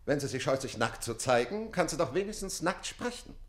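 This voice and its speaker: An actor playing a jealous, commanding aristocratic count in a radio play, speaking formally and imperiously. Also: male voice, a little high, big pitch swings, brisk. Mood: angry, commanding. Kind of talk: classic German radio play acting.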